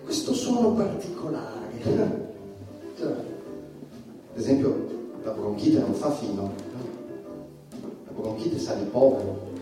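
A man playing an irreverent, provocative stand-up satirist, delivering a sardonic stage monologue with emphatic pauses. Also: mid-range voice. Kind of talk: theatrical stand-up comedy monologue. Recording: echoey stage, distant mic, music behind.

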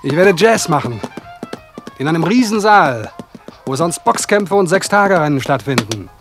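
A man's voice: on the high side, big pitch swings, moderate pace, over music. A man acting a cheeky, boastful young would-be jazz drummer in a German radio play. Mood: cheeky, eager.

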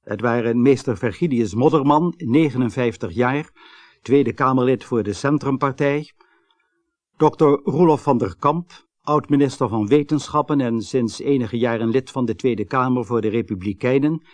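An actor playing a sober, formal radio newsreader, reading a bulletin. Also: male voice, medium pitch, moderate pace, a little mellow, no room sound, close to an everyday voice. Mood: sober, official. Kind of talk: scripted news bulletin in a radio play.

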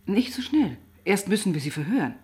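An actress playing a composed, probing police detective who narrates and talks through the case in a measured, matter-of-fact way in a crime radio play. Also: female voice, mid-range voice, sing-song, a little mellow, slightly rough. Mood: grave, resolute. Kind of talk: German crime radio play, dialogue and first-person narration.

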